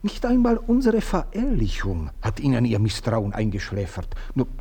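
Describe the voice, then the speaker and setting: An actor playing a scheming, fawning manservant in a radio play. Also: male voice, medium pitch, wildly swooping pitch, normal speed, dark tone, slightly rough, full character voice. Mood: ingratiating. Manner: sly, insinuating, fawning. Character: scheming, cunning male manservant, middle-aged. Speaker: servant.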